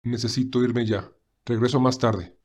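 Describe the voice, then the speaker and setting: Audio story role: tense young man, low and clipped, caring underneath woman performer. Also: fairly even intonation, darkish tone. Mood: hurried, concerned. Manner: terse, hurried. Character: tense, hurried young male theatre actor, tender underneath.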